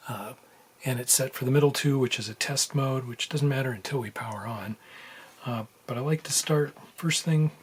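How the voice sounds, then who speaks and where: A man talks through a practical task in an informal, think-aloud way, like a casual tutorial host. His voice sits at a middle pitch. It is recorded indoors at a workbench, with some background room noise. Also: normal speed. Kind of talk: casual hands-on soldering tutorial narration.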